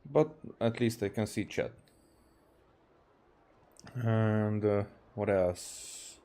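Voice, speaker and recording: A low, mellow man's voice with a Hungarian accent, talking slowly and haltingly at a desk microphone. He streams a game casually and often stops and restarts his sentences. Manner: halting, with many fillers and restarts. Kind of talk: casual livestream commentary, reading game dialogue aloud.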